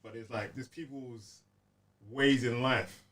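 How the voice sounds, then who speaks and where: Male co-host, hesitant reflective chat, mid pitch, slightly distant mic. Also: steady tone, takes it slowly, a bit bright. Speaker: podcast co-host. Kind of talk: casual chat.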